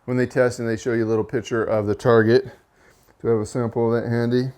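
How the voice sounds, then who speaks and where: A man with a monotone, mid-pitched voice talks through gear in a relaxed, casual review. He pauses on fillers and corrects himself as he goes, and keeps an even delivery. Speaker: rifle reviewer and channel host.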